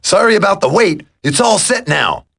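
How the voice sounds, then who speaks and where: A man voicing an easygoing demolitions commando in a video game, droll, with a gravelly, husky voice. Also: high voice, sing-song, normal speed, fairly bright tone, exaggerated voice. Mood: excited.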